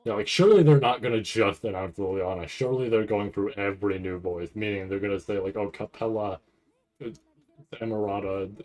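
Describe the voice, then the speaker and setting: Anxious, rambling man, lowish voice. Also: darkish tone. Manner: rambling, halting, repeats words; anxious, nervous anticipation. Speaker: anime commentator reacting to a livestream event. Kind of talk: casual live reaction commentary.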